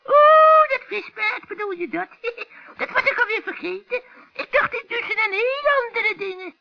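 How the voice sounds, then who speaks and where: A man's voice: very high voice, expressive, normal speed, creaky, soft background music, voice pushed up, heavily acted. A man voicing a sly female witch who wheedles with sugary, feigned innocence in a children's radio play. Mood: sly, teasing. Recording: dull old radio recording.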